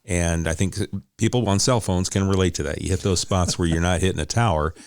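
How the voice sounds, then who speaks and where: A man's voice: low voice, a little fast, darkish tone, dry recording, studio quality. A man hosting a conversational interview, often rephrasing as he frames his questions and points. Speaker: interviewer, host; law enforcement officer.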